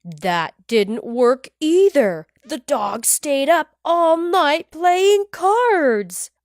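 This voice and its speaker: A woman reading a children's picture book aloud, with expressive, unhurried delivery. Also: high voice, no echo. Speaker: storybook reader.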